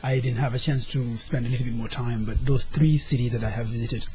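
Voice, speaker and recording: A man's voice: medium pitch, steady tone, a little fast, warm tone, slight background noise. A man from Senegal, a guest talking earnestly and sometimes searching for his words. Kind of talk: casual interview talk.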